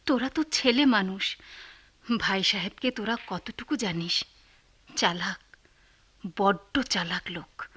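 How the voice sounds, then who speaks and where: A woman's voice: medium pitch, slow pace, long pauses, fairly bright tone, husky. An actress playing a helpless, sorrowful middle-aged widowed mother in a radio play, resigned and plaintive. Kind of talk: Bengali radio play acting.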